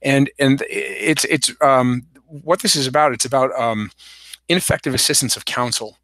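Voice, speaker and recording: A man hosting a solo legal explainer livestream, hoarse from a cold, talking with many fillers and restarts. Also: medium pitch, normal speed, a bit bright, no echo. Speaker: podcast host.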